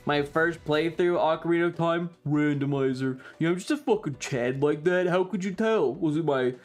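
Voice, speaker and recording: Rambling, wry streamer with music behind. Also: mid-range voice. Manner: rambling, wry and jokey, mildly crude. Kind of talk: casual livestream chat. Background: background music.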